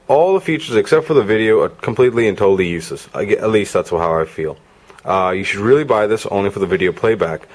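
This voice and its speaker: A man with a fairly low voice talks through a hands-on product demonstration. He speaks casually and matter-of-factly, adding frank asides as a reviewer would.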